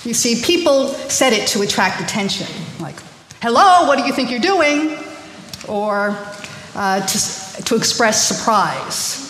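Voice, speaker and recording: A woman's voice: fairly high pitch, expressive, measured pace, a bit bright. A woman born in Korea, speaking informally to a congregation, chatty and hesitant with many ums and restarts. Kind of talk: informal sermon talk to a congregation. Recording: echoey hall with faint noise.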